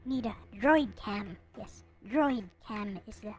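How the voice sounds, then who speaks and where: A woman hosting a livestream, chatting casually with viewers in a halting way and often muttering to herself. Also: medium pitch, soft background music. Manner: halting, muttering to self while setting up. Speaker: livestream host.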